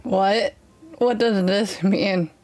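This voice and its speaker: A woman's voice: medium pitch, steady tone, extremely slow, a little mellow. A woman giving casual gaming reaction commentary. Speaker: reaction video maker.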